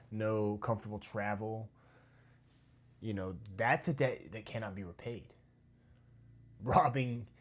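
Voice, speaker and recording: An American man giving a heated, halting commentary monologue with many restarts and sudden drops in volume. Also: on the low side, a little mellow. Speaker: video commentator.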